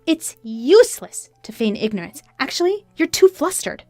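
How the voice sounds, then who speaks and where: A betrayed wife, played by a woman, scornful and sarcastic in a narrated story. Her high voice moves up and down forcefully, close and dry over music. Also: sing-song, normal speed, no room sound. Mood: cold.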